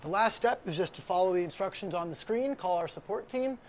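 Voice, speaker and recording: A man giving scripted instructional narration as the presenter of an installation tutorial, explaining things clearly step by step. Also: sits high, animated, a little fast, a little hiss or hum.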